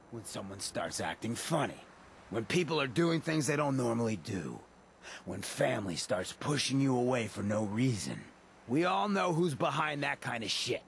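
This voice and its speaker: An actor playing a swaggering, charismatic mayor of freaks, giving a rousing speech with drawn-out dramatic pauses in a video game. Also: male voice, mid-range voice, a bit bright, a little hiss or hum, full character voice. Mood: serious, rallying. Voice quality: gravelly.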